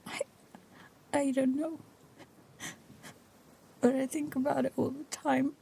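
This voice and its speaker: An actress playing an earnest, frustrated daughter in an audio drama, wanting to be included. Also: female voice, high voice, level tone, takes it slowly, gravelly, dark tone, slight background noise, heavily acted. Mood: haunted, fearful.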